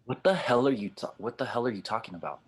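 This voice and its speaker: A man's voice: medium pitch, animated, quick pace, husky. A man playing a skeptical, wisecracking space gunslinger in an audio drama table read, blunt and sardonic. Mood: suspicious.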